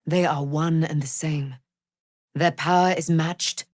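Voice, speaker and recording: Slow, grand immortal warrior woman. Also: low-pitched, fairly even intonation, a little mellow, no room sound, studio quality. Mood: noble, gracious. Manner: grand, formal, archaic theatrical declaiming. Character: proud immortal warrior daughter of a moon goddess, vengeful and noble. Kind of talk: dramatic fantasy video game acting.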